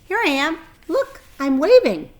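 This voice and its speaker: A clever lady spider from a storybook, played by a woman reader, thin and pleasant in voice, raised in pitch. She speaks in a genteel, articulate way, kindly yet matter-of-fact. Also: very animated, measured pace. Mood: friendly.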